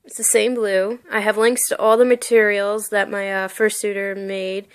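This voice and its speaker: A woman's voice: mid-range voice, level tone, normal speed, clear, resonant tone. A young woman showing off a costume for sale in her bedroom, talking casually and gushing with lots of "like" and "really, really". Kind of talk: casual show-and-tell vlog. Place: bedroom, indoors.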